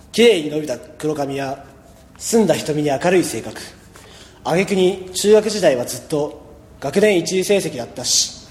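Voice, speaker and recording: Guy as a laid-back high school boy in a radio drama, highish voice, dry sarcasm, echoey. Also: a little mellow, slight background noise. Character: laid-back, wry teenage high school boy narrating his life. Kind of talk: amateur radio drama narration and acting. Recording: echoey, long reverb.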